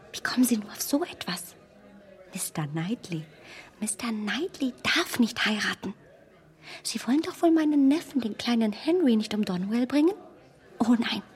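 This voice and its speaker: An actress playing a strong-willed, self-assured young heroine who talks emphatically in a hushed voice, in a radio play. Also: female voice, a little high.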